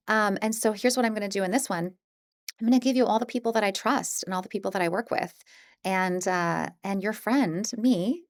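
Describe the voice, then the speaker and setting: A woman's voice: mid-range voice, no room sound. Chatty, encouraging woman, close dry recording. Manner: chatty, encouraging, informal and self-deprecating. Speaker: audiobook narrator teaching an introductory course. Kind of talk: casual, conversational course presentation.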